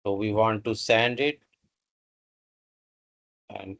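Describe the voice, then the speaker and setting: A man narrating a step-by-step software tutorial in a halting, instructional way, with pauses between short phrases. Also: on the low side, steady tone, gravelly.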